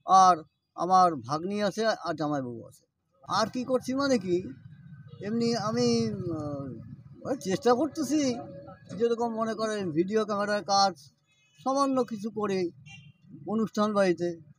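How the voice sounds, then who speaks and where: High-voiced North Bengal man, distant mic. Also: expressive, a little slow, long pauses. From West Bengal, India. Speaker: e-rickshaw driver being interviewed. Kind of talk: informal spoken interview answers. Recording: distant mic, noisy with vehicle sounds.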